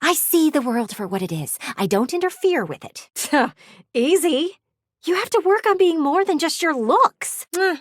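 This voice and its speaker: A vain, nosy talking window, a female video game character. Her voice sits fairly high and swings widely in melody, full of theatrical fretting and teasing. The sound is close and dry, with no room around it. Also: big pitch swings, normal speed, no echo. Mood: aloof, chiding.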